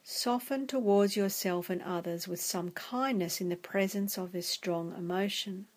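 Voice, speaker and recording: A woman guiding a meditation in a soft, gentle, soothing way. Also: medium pitch, unhurried. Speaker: meditation guide. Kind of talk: guided meditation narration.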